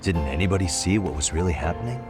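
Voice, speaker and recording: Dogged investigator, low voice, serious and insistent, game drama with music behind. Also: male voice, lively intonation. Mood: pressing. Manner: pressing, deliberate, serious. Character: dogged, probing male investigator, calm but insistent. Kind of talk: dramatic game cutscene acting.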